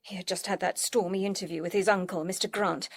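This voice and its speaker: A woman's voice: lowish voice, steady tone, fast talker. An actress playing a grieving, devoted young woman in a period audio drama, earnest and fretful. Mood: earnest, defensive. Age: young adult. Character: grieving, devoted young daughter pining for a lost lover.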